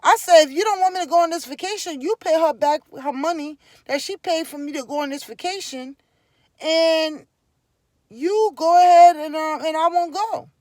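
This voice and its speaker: A woman's voice: very high-pitched, moderate pace, darkish tone, no echo. A woman from Liberia with a Liberian accent, telling her own story to camera in an emphatic, repetitive, preaching way. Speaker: vlogger. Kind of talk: casual personal storytelling to camera.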